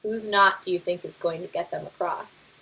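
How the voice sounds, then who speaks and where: A woman narrating a children's read-aloud, talking directly to young listeners with expressive questions and pauses. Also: lowish voice, vocal fry, poor audio.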